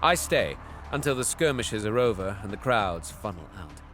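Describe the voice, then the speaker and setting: Scheming alien prince, played by a male actor, low voice, sweeping intonation, disdainful, theatrical audio drama. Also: big pitch swings, moderate pace, fairly bright tone, faint music behind. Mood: brooding, disdainful. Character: bitter, covetous young alien prince, scheming and bloodthirsty. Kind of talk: dramatic first-person narration and dialogue, audio drama.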